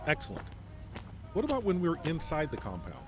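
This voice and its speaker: A man's voice: on the high side, wildly swooping pitch, a little fast, music in the background. An actor playing a polite, commanding male general in an audio drama, speaking formally.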